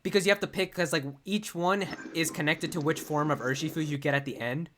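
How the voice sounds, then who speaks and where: A man's voice: on the high side, steady tone, a little fast, pushed and bright, a little hiss or hum. Laid-back man hosting a gaming podcast. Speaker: podcast host reading viewer questions. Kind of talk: casual gaming chat.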